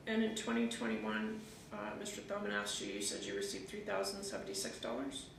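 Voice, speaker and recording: A woman's voice: rumbling low, big pitch swings, fairly quick. A woman judge questioning a witness in formal courtroom language. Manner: formal, addresses the witness as ma'am. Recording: echoey, distant courtroom mic, noisy.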